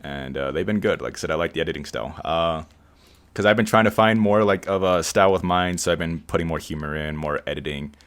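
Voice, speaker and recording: A man hosting a casual podcast chat, who edits the show, rambling along and describing his own voice as monotone. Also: low voice, quick pace. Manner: relaxed, rambling, says he has a monotone voice. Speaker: podcast host and editor.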